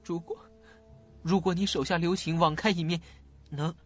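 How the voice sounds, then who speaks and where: Man acting a husky, guilt-ridden young student. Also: on the high side, steady tone, lightly acted. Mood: pleading, fearful. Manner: halting, dramatic delivery. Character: guilt-ridden, bitter student living with mother, haunted by calls. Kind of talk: suspense radio drama acting. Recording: dull lo-fi audio, faint music.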